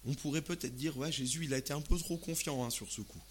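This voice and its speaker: A man's voice: on the high side, fast. Conversational male preacher, some background noise. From Alsace, France. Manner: expressive, uses rhetorical questions and acted-out asides. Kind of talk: sermon in conversational, colloquial language.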